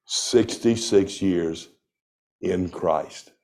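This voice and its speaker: Older guy in his 60s preaching real slow, low voice, leans hard on the verses. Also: a little mellow. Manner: slow, deliberate preaching with emphatic scripture reading. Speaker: pastor. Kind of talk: sermon.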